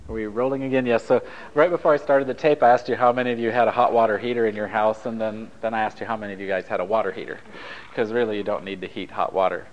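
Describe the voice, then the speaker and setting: A man's voice: on the low side, steady tone, fast, dull audio, a little hiss or hum. A man from Italy whose first language is Japanese, leading a taped relationship workshop in a conversational, anecdotal way, joking with the group. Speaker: workshop leader.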